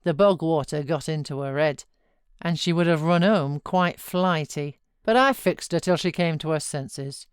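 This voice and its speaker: A woman's voice: sits low, unhurried, smooth, no room sound, clean studio sound. A woman voicing a callous, domineering master of the house in a novel, curt and commanding.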